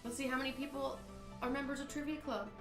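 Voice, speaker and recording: A woman's voice: high-pitched, fairly even intonation, fast talker. A woman hosting a casual live-stream trivia game, reading questions aloud and bantering with her co-host. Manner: chatty, giggly, reads questions then banters. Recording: echoey room with faint music.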